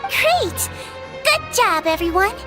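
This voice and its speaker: A woman's voice: extremely high pitch, sing-song, slow pace, music in the background, exaggerated voice. A woman voicing a small, girlish, kind and earnest young nurse in a video game, speaking sweetly and politely. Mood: cheerful, reassuring. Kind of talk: animated video game voice acting.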